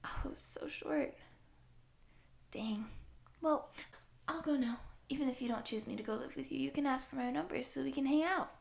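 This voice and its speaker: A woman voicing a friendly, excitable eighteen-year-old wolf girl who rambles from one thing to the next in an audio roleplay for the listener. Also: high voice, moderate pace, vocal fry, pauses often. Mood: eager, friendly. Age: young adult.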